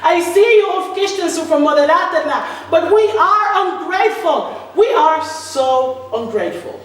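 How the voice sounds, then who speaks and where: A woman's voice: very high voice, lively intonation, a little slow. A woman reading a spoken-word political manifesto with emphatic, sarcastic delivery and repeated refrains. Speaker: writer reading own spoken-word text. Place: large reverberant indoor art venue. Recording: echoey hall from far off, faint noise.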